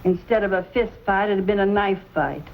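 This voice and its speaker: An actress playing a worried, pious middle-aged frontier mother in a Western drama, gently moralizing. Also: mid-range voice, fairly quick, lightly acted. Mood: reproving. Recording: dull old soundtrack with faint noise.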